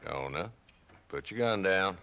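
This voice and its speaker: A low, forceful American lawman of the Old West. He gives orders with weary authority, his pitch moving a lot, as in a western game. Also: animated, unhurried, exaggerated voice. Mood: stern. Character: commanding, weary frontier marshal. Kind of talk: video game cutscene acting.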